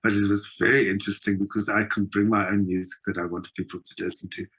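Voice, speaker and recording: An older man with a South African accent, telling anecdotes reflectively as an interview guest and often voicing imagined dialogue in an expressive way. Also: on the low side, quick pace, breathy edge. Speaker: interview guest, actor and singer.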